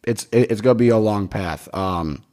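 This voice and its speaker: Rambling young man, low voice. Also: normal speed, warm tone. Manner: rambling, many fillers and restarts, swears casually. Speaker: podcast host. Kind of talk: casual podcast chat.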